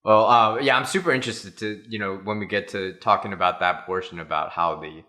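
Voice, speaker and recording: A male podcast host introduces his guests in an easygoing, rambling way. His voice sits slightly low yet carries a bright, forward tone. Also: fairly even intonation, a little fast. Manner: friendly, rambling with many fillers. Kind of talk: casual podcast interview.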